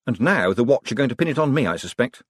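A man voicing a quick-witted, breezy rogue of a banker in an audiobook, casual and dryly ironic. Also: medium pitch, very animated, husky, dry recording, clean studio sound, pitched above the performer's own voice. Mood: wry.

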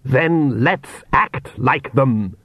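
A man's voice: medium pitch, animated, a little slow, no room sound. A man as a pompous, imperious vampire count. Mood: defensive, shouting. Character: arrogant vampire count, a domineering middle-aged father.

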